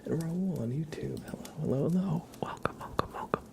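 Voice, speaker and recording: An ASMR livestream host who whispers and talks softly in a gentle, affectionate way, close to the microphone. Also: mid-range voice, brisk, gravelly, heavy background noise. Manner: gentle, affectionate cooing at a pet. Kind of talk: live ASMR, whispered and soft-spoken greetings and chat. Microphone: close sensitive microphone.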